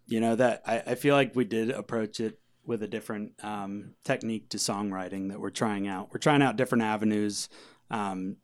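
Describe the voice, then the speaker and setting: A man, a band musician, chatting casually in a hesitant, halting way as he is interviewed. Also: lowish voice, fairly even intonation. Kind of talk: casual chat.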